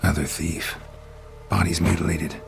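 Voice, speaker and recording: A man playing a stoic, gruff monster hunter in a video game, terse and deadpan with a low gravelly voice. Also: normal speed. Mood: muttering, investigating. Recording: game audio under background music.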